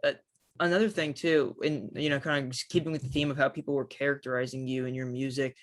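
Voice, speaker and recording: A man's voice: medium pitch, fairly even intonation, quick pace. A man hosting an interview, asking questions in a friendly, loose way with fillers. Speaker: interviewer, host.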